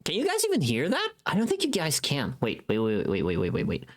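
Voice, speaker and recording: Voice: medium pitch, wildly swooping pitch, brisk. A livestreamer chatting casually and thinking aloud, playful and rambling, with some unfiltered swearing. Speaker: livestreamer and voice pack creator.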